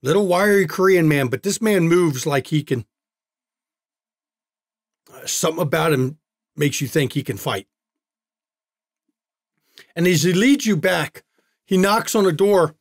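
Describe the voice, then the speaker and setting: Guy gm narrating a tabletop game, lots of uhs, a bit high, dry mic. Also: lively intonation, no room sound. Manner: halting, many uhs and restarts. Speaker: game master.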